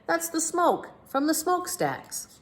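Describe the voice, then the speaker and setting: Woman playing a jovial, excitable ship's captain. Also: extremely high pitch, measured pace, pitched above the performer's own voice, exaggerated voice. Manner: hearty, exclaiming.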